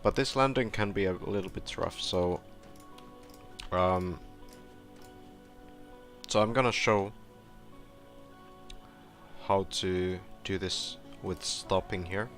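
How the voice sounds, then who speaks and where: A man casually talking through a game walkthrough, relaxed and rambling with fillers, his voice going hoarse. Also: deep voice, slow, long pauses, faint music behind. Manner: relaxed, rambling, with frequent fillers and self-corrections. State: hoarse, clearing his throat. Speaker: gameplay tutorial streamer. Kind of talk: casual gameplay walkthrough commentary.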